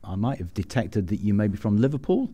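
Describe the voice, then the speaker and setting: A man with a low, mellow voice hosts an interview podcast. He talks in a conversational, relaxed way, with a soft, dark tone. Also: fairly quick. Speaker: podcast host.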